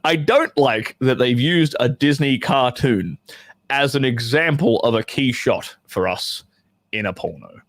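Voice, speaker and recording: A man's voice: mid-range voice, expressive, a little slow, a bit bright, dry recording. A man who performs in adult films hosting a casual livestream, talking to his chat in a rambling, profane, joking way.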